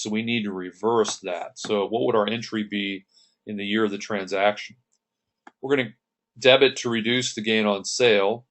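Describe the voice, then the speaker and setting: Guy with a lowish voice teaching accounting, methodical, step by step, clears his throat sometimes. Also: a bit bright. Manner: methodical, walks through entries step by step; clears throat now and then. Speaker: accounting instructor. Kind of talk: lecture over slides.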